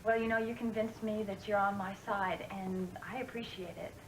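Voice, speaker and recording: An actress in a soap opera, talking in a grateful, uneasy manner. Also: female voice, medium pitch, fairly even intonation, normal speed, background noise, close to an everyday voice. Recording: roomy echo.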